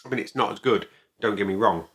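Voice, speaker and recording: A man giving a casual product review to camera as a video host, speaking in a halting, deliberate way and repeating phrases. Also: fairly low pitch, fairly bright tone.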